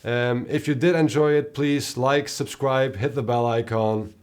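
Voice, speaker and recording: A man with a low voice and a non-native accent, answering viewer questions in a rambling, relaxed way. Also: a little slow, smooth. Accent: non-native English accent. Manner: rambling, relaxed, many fillers. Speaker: musician and video host. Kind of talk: casual Q&A vlog.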